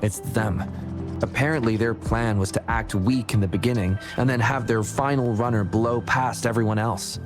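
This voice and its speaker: A man's voice: lowish voice, fairly even intonation, normal speed, music in the background, lightly acted. An actor playing a thoughtful, reasonable male relay teammate in a video game, explaining things in a considered way.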